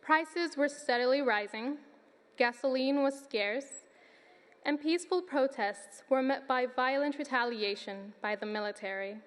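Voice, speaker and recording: A nineteen-year-old woman from Sudan, giving an earnest, measured student speech at a graduation ceremony. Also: sits high. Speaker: student commencement speaker. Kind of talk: prepared speech. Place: large hall. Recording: long reverb of a large hall.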